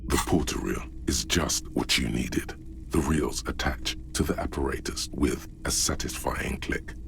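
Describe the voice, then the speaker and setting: An actor voicing a solemn, cryptic inner-voice narrator in a video game, speaking in measured, literary, brooding phrases with a gravelly voice. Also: male voice, fairly bright tone, a little hiss or hum, full character voice. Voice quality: gravelly, very deep. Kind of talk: scripted second-person game narration. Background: music.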